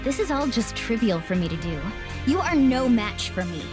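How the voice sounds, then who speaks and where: A woman's voice: fairly high pitch, big pitch swings, normal speed, over music. A woman playing a bitter, vengeful young ninja girl, scornful and intense, in an anime game dub.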